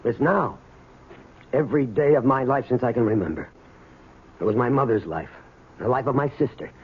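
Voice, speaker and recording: An actor playing the bitter, unyielding son of an imprisoned robber in an old-time radio drama. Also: male voice, medium pitch, normal speed, slightly rough, phone-quality sound, natural voice. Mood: bitter, anguished. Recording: thin, noisy old radio broadcast.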